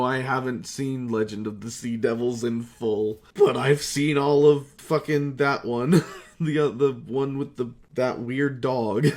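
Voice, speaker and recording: A man's voice: medium pitch, darkish tone. A man talking in a rambling, confessional, casual monologue, candid and self-deprecating, with frequent swearing. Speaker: voice actor reflecting on hobby career.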